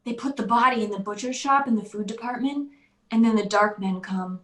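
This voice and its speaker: A woman's voice: a little high, brisk, a bit bright, lightly acted. An actress playing a timid, naive young servant woman in a radio drama, speaking with fearful, innocent earnestness. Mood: horrified. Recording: roomy, echoing voice track.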